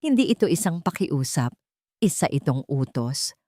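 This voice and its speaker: A woman's voice: sits low, sing-song, moderate pace, warm tone, no room sound. A woman storyteller narrates a dramatic love story. The recording is close and dry, with no room sound. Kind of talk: scripted dramatic romance narration.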